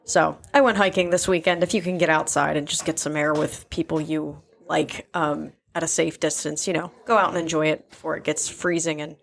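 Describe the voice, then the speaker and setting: A woman's voice: low-pitched, fairly even intonation, a little fast, gravelly, few pauses, a little hiss or hum. A woman co-hosting a podcast, chatting enthusiastically. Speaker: podcast co-host. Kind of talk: casual chat.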